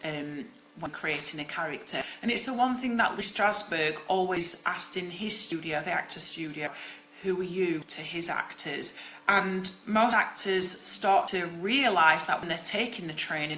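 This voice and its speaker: Loud, bright-voiced woman teaching. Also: fairly low pitch, reverberant, low-quality recording. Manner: loud, projecting, direct and encouraging. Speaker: acting coach. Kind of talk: live-streamed teaching talk.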